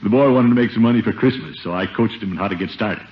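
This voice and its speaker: An actor playing a pompous, boastful middle-aged uncle, jovial and blustery, in a 1950s radio comedy. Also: male voice, fairly low pitch, quick pace, close to an everyday voice. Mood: self-satisfied. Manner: pompous, swooping, self-satisfied. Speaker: lead comic character. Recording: muffled, noisy 1950 radio broadcast.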